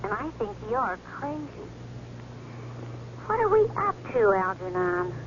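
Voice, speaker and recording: An actress playing a swindler's brisk, skeptical card-dealer girlfriend in a radio drama, wry and matter-of-fact. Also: a little high, very animated. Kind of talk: 1970s radio drama acting. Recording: dull old radio recording, faint noise.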